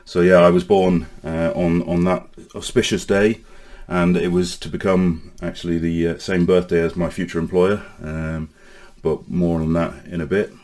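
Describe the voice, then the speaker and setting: A man in his forties from Northern Ireland with a mostly English accent, telling his life story to camera in a halting way, with many pauses and restarts. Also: low voice, flat delivery, darkish tone. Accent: English with Northern Irish traces. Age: 40s. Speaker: online safety vlogger.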